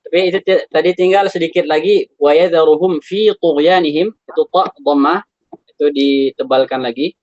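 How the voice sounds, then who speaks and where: A man teaching Quran recitation on a video call, relaxed and chatty, gently correcting his students' pronunciation. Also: on the high side, normal speed, no echo, highs cut off. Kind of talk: online tajwid class, correcting students.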